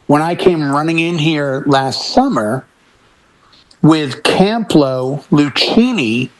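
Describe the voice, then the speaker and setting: Animated older man telling stories. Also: a little high, takes it slowly, poor audio. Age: 80s. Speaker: DJ and panel member. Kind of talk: casual panel chat with anecdotes.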